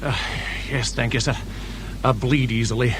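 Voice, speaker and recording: An actor playing a deferential, easy-going police sergeant in a British radio drama. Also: male voice, medium pitch, steady tone, normal speed, lightly acted. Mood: mild, deferential. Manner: deferential, mild. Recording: faint noise behind radio drama recording.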